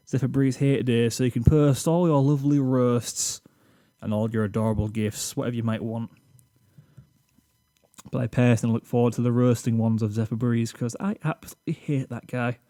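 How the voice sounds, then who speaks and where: A man's voice: mid-range voice, mellow, no echo, clean studio sound. A British man reading a scripted reflection to his channel's viewers in a rambling, self-deprecating, slightly awkward way, sitting at a desk microphone. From Britain. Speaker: YouTube channel host.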